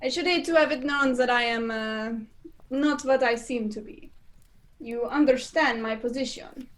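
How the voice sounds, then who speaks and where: A woman's voice: high-pitched, moderate pace, lightly acted. A young woman playing a suave villainous spy chief in an amateur radio play, politely and unhurriedly menacing. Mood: suave menace. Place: indoors, performed over a video call.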